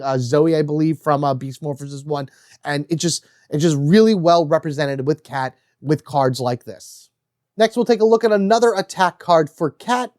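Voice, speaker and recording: A man's voice: on the high side, a little mellow, dry recording, clean studio sound. A man with a nasal, stuffed-up voice from a cold, chatting enthusiastically through a card-by-card board game breakdown and reading card text aloud. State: congested with a cold.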